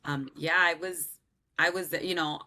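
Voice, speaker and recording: Bright-toned woman telling her story. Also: deep voice, a little slow. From Southern California. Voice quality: loud strong voice. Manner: animated, laughs often, lots of "um" and "like". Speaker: singer and guest. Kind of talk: casual interview storytelling.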